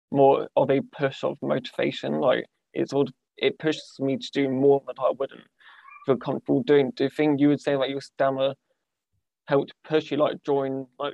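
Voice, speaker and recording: A man's voice: mid-range voice, creaky, a little mellow, dry recording. A young man hosting a podcast, talking hesitantly with many "like"s and false starts. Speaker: podcast host. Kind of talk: conversational interviewing. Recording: dull lo-fi dry sound.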